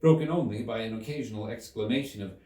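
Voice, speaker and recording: A man giving an expressive scripted narration of a story as its reader. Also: fairly low pitch, fast talker. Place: room inside a museum. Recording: echoey room, distant mic.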